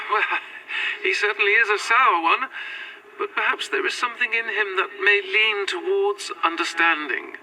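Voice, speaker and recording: A woman's voice: mid-range voice, fairly even intonation, normal speed, sharp tone, slight background noise, poor audio. An actress playing a gracious, devoted Victorian lady in a gothic audio drama, with formal, earnest, old-fashioned speech. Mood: earnest, firm. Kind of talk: found-footage gothic audio drama acting.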